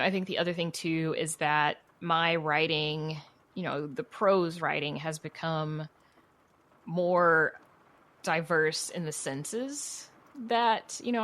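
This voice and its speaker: A woman with a notably low voice talks in a casual interview. She is chatty and candid, explaining things informally with plenty of "you know" and "like" along the way. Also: a bit bright. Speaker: interview guest, writer and audio fiction producer.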